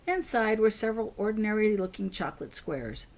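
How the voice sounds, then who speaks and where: A woman's voice: mid-range voice, animated, brisk. A woman narrating scripted fiction as an author reading her own story.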